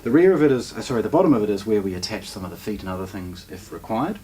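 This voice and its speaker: A man's voice: on the low side, racing, mellow. A man giving a casual, unscripted product walkthrough as a shop presenter, hesitating and rephrasing as he goes. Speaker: shop presenter demonstrating audio gear. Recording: distant mic with faint background noise.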